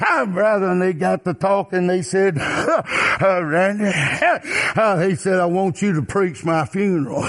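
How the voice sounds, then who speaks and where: Southern appalachian preacher guy, slow drawn out chanty sermon, gaspy breaths between lines, croaky high voice. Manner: rhythmic chanting delivery with loud gasping breaths between phrases; folksy, repeats stock phrases like a refrain. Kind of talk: fervent old-time extempore sermon with anecdotes.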